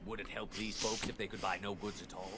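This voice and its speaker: An actor playing a surly, grasping merchant in a video game, gruff and defensive as he haggles. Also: fairly low pitch, level tone, brisk, heavy background noise, lightly acted. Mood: grudging. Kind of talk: video game character acting.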